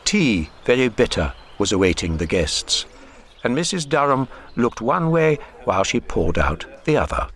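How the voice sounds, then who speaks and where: A man narrating a literary radio drama in a measured, wry way. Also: medium pitch, sing-song, fairly bright tone, slight background noise. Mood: descriptive.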